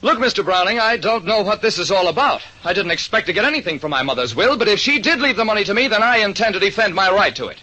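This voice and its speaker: A man's voice: high-pitched, lively intonation, quick pace, hardly any pauses, bright, forceful tone, muffled recording, voice pushed up, full character voice. A man voicing a defensive, stubborn heir, the wayward son, in a 1940s radio drama. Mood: defensive, determined.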